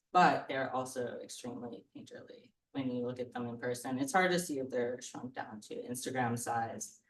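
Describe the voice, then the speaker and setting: Scratchy-voiced woman painter, earnest. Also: a bass voice. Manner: thoughtful, earnest, gently admiring. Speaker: painter and guest. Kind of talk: casual public conversation. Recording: roomy sound from far off the mic.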